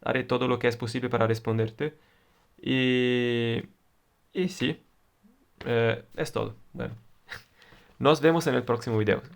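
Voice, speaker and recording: A man with an Italian accent, a native Italian speaker, explaining electronics in a deliberate, halting way with frequent fillers. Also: mid-range voice, steady tone, vocal fry. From Italy. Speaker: electronics tutor. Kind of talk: explaining circuits at a whiteboard.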